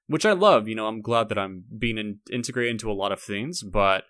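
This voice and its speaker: A man's voice: fairly low pitch, animated, brisk, fairly bright tone, dry recording, clean studio sound. A man co-hosting a podcast in casual chat, rambling and often cutting his own sentences off to start again. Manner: rambling, self-interrupting. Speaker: podcast co-host.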